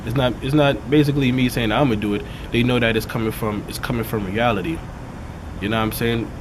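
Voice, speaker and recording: A man with a raspy, dark voice, a rapper talking casually in an interview and peppering his talk with "you know what I'm saying". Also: medium pitch, fairly even intonation, fast talker, background noise. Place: outdoors in a city neighborhood.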